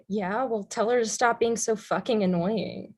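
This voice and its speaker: A woman's voice: fairly high pitch, unhurried, a little mellow, husky. A woman playing a bitter, combative young woman in a treatment group in a staged play reading, blunt, sarcastic and profane. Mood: defensive, angry.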